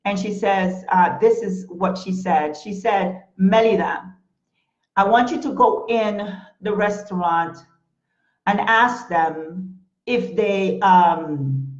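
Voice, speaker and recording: Slow-talking Caribbean-accented woman host. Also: medium pitch. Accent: Caribbean English. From Panama. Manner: earnest and emphatic, with hesitant fillers. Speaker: talk show host. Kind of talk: live-streamed motivational talk. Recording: roomy, dull lo-fi live stream.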